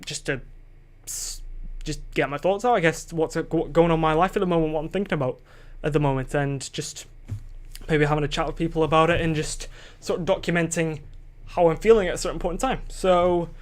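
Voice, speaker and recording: Young guy rambling on his own podcast, kinda high voice, swears, keeps losing his thread. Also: steady tone, brisk. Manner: rambling and self-questioning, loses his train of thought; casual swearing. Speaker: solo podcaster. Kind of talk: rambling solo podcast chat. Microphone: desk microphone.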